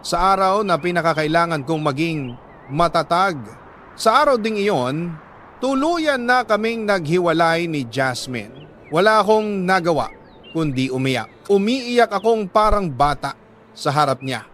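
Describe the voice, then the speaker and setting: Higher-pitched Filipino man, dramatic storyteller. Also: expressive, unhurried, fairly bright tone, a little hiss or hum. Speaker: radio drama narrator reading a letter sender's story. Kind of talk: dramatic first-person scripted storytelling.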